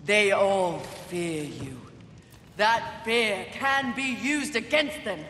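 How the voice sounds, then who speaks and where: A woman's voice: mid-range voice, takes it slowly, a bit bright. An actress playing a stern, resolute warrior horseman in a video game cutscene, speaking in a solemn, formal, declamatory way. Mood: urgent, persuasive. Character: stern, resolute female horseman warrior sworn to protect humanity. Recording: echoey hall reverb, faint background noise.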